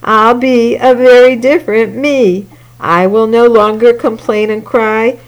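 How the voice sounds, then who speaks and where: Woman playing a cranky little girl, pouty, whiny, higher voice. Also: fairly even intonation, a little slow, natural voice, low-quality recording. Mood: remorseful. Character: grumpy young girl.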